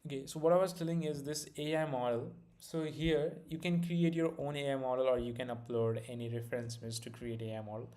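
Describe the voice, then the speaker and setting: A man's voice: medium pitch, fairly even intonation, brisk, hardly any pauses, a little mellow. Brief: young male streamer, Indian accent, easygoing and rambling, mid-range voice. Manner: loose, filler-heavy, restarts often. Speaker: live-stream host. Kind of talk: casual live-stream chat and product demo.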